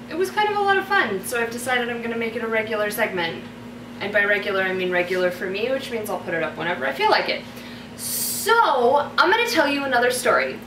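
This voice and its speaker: Woman storyteller in an echoey room. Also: mid-range voice. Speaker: vlogger telling a story. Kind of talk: casual storytelling to camera. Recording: roomy echo, distant mic, background noise.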